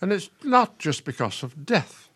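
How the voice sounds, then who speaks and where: A man's voice: on the high side, sing-song, measured pace, fairly bright tone, slightly rough, full character voice. A man playing a patient, resigned retired professor, an older husband in a radio play, speaking in a dry, understated way. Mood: weary, reproachful.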